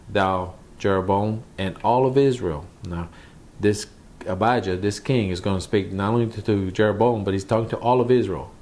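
A man's voice: on the low side, a little mellow. A man, a pastor, teaching from the Bible in a conversational sermon, repeating phrases for emphasis.

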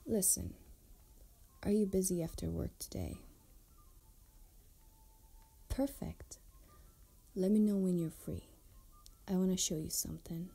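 A woman playing a flirtatious, tender pirate captain in an intimate ASMR roleplay, speaking softly and often close to a whisper. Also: lowish voice, exaggerated voice. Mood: flirtatious, curious. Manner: soft, breathy, often near whisper, unhurried. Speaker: audio roleplay performer.

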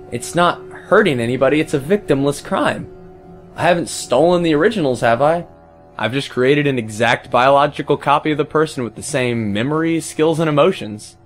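A man's voice: mid-range voice, lightly acted. A man playing a smug, callous music pirate in a scripted comic monologue, flippant and self-justifying.

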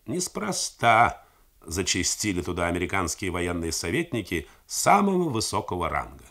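A man's voice: deep voice, huge pitch swings, normal speed, fairly bright tone, exaggerated voice. A man reading the part of an earnest intelligence officer delivering reports with keen zeal. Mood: reporting.

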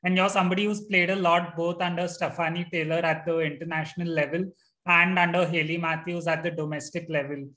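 A man's voice: on the high side, little pitch movement, fairly quick, sharp tone. A young man hosting an interview over a video call, asking long prepared questions. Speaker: host. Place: indoor room.

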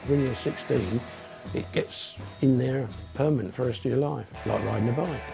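A man's voice: mid-range voice, normal speed, gravelly, over loud music. An older man, a former motorcyclist, reminiscing plainly about his youth.

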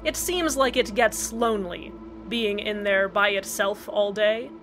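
A woman's voice: medium pitch, measured pace, a bit bright, faint music behind. A woman hosting a scripted community radio show, delivered in a cheerfully matter-of-fact way. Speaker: radio host. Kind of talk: scripted fan-fiction radio narration.

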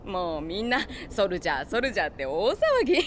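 Motherly townswoman, chatty, very animated intonation, video game dialogue, faint background noise. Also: mid-range voice, brisk. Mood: grateful. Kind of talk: Japanese video game voice acting.

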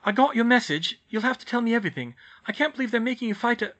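A man voices a clever teenage schoolgirl witch in a storybook, lifted high and bright. She is bossy and earnest, speaking in an organised, insistent way. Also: animated, fast, full character voice. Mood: anxious, rushed.

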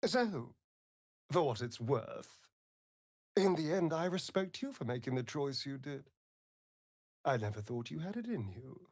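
An actor playing a sardonic, flirtatious and vain vampire rogue in a video game, with an arch, theatrical drawl. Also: male voice, mid-range voice, very animated, a little slow, long pauses, dry recording, studio quality. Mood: subdued, sincere. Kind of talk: video game character acting.

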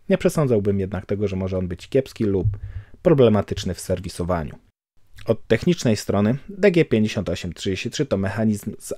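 A man giving a calm, measured voice-over for a watch review, explaining technical details. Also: fairly low pitch, no echo, studio quality.

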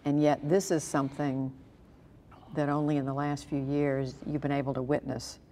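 An older woman in her seventies, a news correspondent asking measured, probing interview questions in a farm shed. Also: sits low, flat delivery, dark tone, slight background noise.

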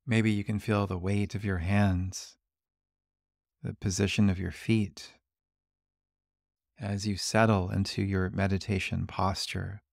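Guy doing a guided body scan, really slow and gentle, bit of gravel in the voice, super dry close sound. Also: sits low, no echo. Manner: gentle, calm, unhurried instruction with long pauses. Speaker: meditation guide.

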